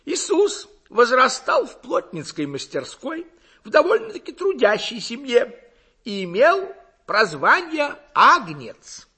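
A man's voice: very high-pitched, huge pitch swings, slow pace, bright, forceful tone, husky, pitched above the performer's own voice, heavily acted. A man voicing a pompous, ignorant village lecturer in an audiobook, with a gurgling, throaty voice and affected, self-assured oratory. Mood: smug, gushing. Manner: affected, gushing, self-assured oratory in clumsy learned words. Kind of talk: audiobook character acting.

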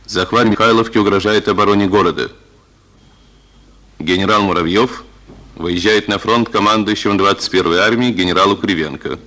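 A man narrating and reading the stage directions of a radio drama in a calm, even tone. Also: on the low side, moderate pace. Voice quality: calm, even announcer delivery.

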